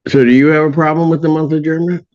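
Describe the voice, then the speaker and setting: A middle-aged man presiding as a judge in a courtroom hearing, joking and teasing, then brisk and firm. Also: on the high side, little pitch movement, muffled recording.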